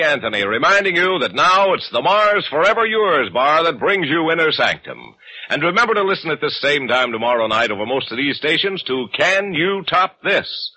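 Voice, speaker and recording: Lively, bright male vintage radio announcer. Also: a little high, low-quality recording. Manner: polished, emphatic salesmanship. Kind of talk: 1940s radio commercial announcing. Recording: old radio recording, faint noise.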